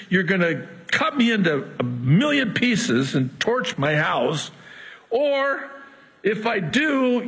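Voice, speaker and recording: A husky-voiced male preacher whose native tongue is Arabic, unhurried and deliberate in his delivery. He teaches verse by verse, breaking in with lively quips and soft chuckles. His voice sits a little high for a man and rings out with the long reverb of a big hall. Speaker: Bible teacher. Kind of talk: verse-by-verse sermon.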